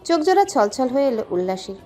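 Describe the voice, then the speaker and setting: A woman's voice: on the high side, sing-song, quick pace, dark tone, soft background music. A woman reading a scripted dramatic story as its narrator.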